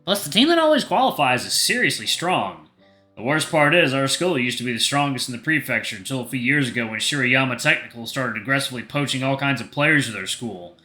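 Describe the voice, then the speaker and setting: Man playing an earnest, flustered teenage boy, very bright. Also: medium pitch, sing-song, fairly quick, reverberant, faint music behind. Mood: defensive, earnest.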